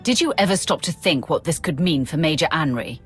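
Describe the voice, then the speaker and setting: Stern, low-voiced Sith warrior. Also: female voice, lively intonation, a bit bright, breathy edge, soft background music, heavily acted. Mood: accusing. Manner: formal, measured, authoritative. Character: stern, composed female Sith warrior, commanding. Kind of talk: dramatic video game acting.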